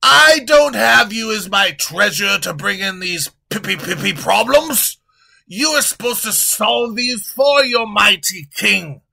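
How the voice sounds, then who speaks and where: A man's voice: expressive, slow, sharp tone, exaggerated voice. A man voicing a pompous, lazy, rude king who bellows insults at his servant. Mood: blustering. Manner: bellowing, insulting, shrill when panicked.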